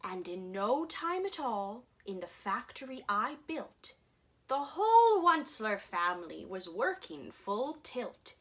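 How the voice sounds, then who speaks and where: A woman performer reading a rhyming storybook aloud in an expressive, dramatic, theatrical way. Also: on the high side, slow.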